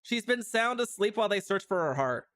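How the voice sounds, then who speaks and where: A man's voice: high voice, lively intonation, brisk, fairly bright tone. A man giving casual livestream commentary on a game, reacting with puzzled asides and repeating his phrases. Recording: music behind the voice.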